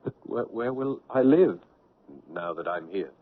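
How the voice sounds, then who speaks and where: An actor in a 1970s radio drama playing a bewildered, anguished man, halting and stammering as he speaks. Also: fairly low pitch, lively intonation. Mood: bewildered, fearful. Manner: halting, stammering, repeating words. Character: anguished, haunted man newly dead, bewildered and lonely. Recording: noisy, narrow-band 1970s radio recording.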